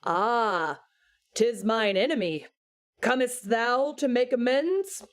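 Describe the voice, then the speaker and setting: A woman voicing a pompous male God who speaks in mock-archaic, grandly drawn-out phrases. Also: fairly high pitch, pitched above the performer's own voice. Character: pompous almighty male God, booming and theatrical.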